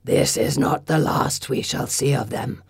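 Woman as ancient stone gargoyle, storybook guardian: very low, gravelly, slow, solemn and commanding. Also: no echo, studio quality, heavily acted. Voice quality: gravelly, deep. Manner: slow, grave, formal pronouncements.

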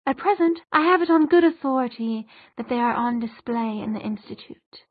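Woman playing a haughty, high-voiced fairy queen. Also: expressive, moderate pace, no room sound, phone-quality sound. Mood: imperious. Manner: imperious, formal, gloating.

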